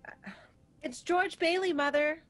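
A woman's voice: very high voice, steady tone, unhurried, soft background music. An actress playing a teasing, direct young small-town woman in a radio drama, with wry, pointed questions.

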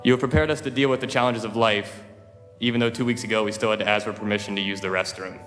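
A teenage boy speaks at a podium microphone on a large auditorium stage, with a dry, joking, lightly self-mocking delivery. His voice rings out with a long reverb. Soft music plays underneath him. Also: on the low side, fairly even intonation, fast. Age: teens. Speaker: valedictorian giving graduation speech. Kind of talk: humorous speech at a podium. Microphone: podium microphone, filmed from far.

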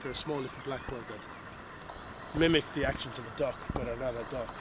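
A man's voice: mid-range voice, steady tone, normal speed. A middle-aged man, a company director explaining things on an outdoor tour in a hesitant, patient way. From Ireland. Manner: hesitant, explains with fillers and restarts. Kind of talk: casual explanatory tour talk. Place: outdoors by a reed-bed pond. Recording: dull outdoor camera audio with noise.

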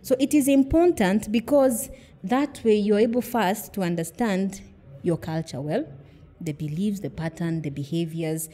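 A woman's voice: mid-range voice, unhurried, warm tone. A middle-aged woman from Kenya, a podcast guest, explaining earnestly with examples and rhetorical questions. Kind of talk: conversational interview.

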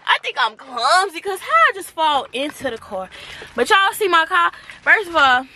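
A woman's voice: very high-pitched, moderate pace. A young woman around twenty chatting casually to camera in a slangy, dramatic way, as a vlogger. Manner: animated, slangy, dramatic complaining. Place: at home, then inside a car. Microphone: camera at arm's length or propped nearby. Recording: noisy camera audio with car hum.

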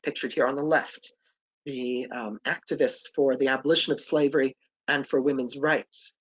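A man's voice: on the high side, fairly bright tone, lo-fi sound. Hesitant man on a webinar call. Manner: hesitant, many ums and uhs. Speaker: historic site interpreter. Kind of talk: webinar presentation and Q&A.

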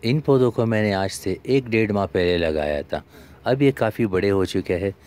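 Low-voiced man narrating. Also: expressive, moderate pace, darkish tone. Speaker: gardening video host. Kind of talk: scripted vlog narration of a garden tour.

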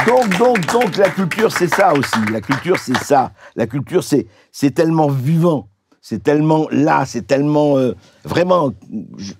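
A man's voice: fairly high pitch, slightly rough. An older man hosting a talk show in a dim lounge set, chatting animatedly with many restarts. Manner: animated, gesturing, halting restarts. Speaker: host. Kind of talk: casual talk show chat. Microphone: vintage stand mic.

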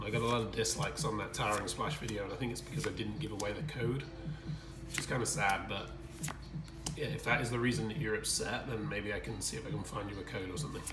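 A hoarse, croaky man hosting his card-opening channel in casual chat, often clearing his throat and pausing with fillers. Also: lowish voice, reverberant, recorded from a distance. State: croaky, hoarse from screaming at a game. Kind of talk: casual unboxing commentary. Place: indoor room with collectibles on shelves.

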